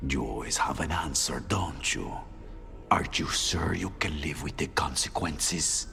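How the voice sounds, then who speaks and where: A doom-saying assassin in a game drama, low and creaky. He needles with bitter rhetorical questions in a very lively, brooding delivery, with music underneath. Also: measured pace, full character voice. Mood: needling, brooding. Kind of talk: dramatic video game acting. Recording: music behind the voice.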